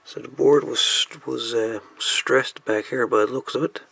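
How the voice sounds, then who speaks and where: A man's voice: medium pitch, fairly even intonation, unhurried, gravelly, pushed and bright, husky. A man casually talking through a hands-on repair at the bench, folksy and thinking aloud. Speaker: hobbyist radio repairman presenting a repair.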